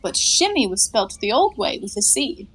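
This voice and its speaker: An actress playing a flippant, quick-witted young woman in a 1920s comedy audio play, breezy and playfully sarcastic. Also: medium pitch, sing-song, slightly rough, low-quality recording. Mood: teasing.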